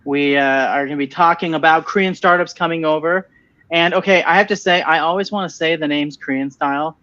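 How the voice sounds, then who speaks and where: A man's voice: a little high, steady tone, brisk, sharp tone, dull audio. A man in a casual webinar conversation, talking with enthusiasm and repeating himself as he starts sentences. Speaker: venture capital partner and co-host.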